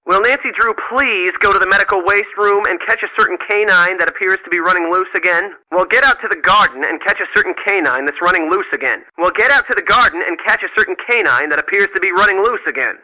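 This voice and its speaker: An irritable crooked spa boss for a video game, kinda high edgy forceful voice, snippy and sarcastic. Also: male voice, no echo, dull audio, heavily acted. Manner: curt, sarcastic, barking orders. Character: bossy irritable sarcastic male spa manager, secretly crooked.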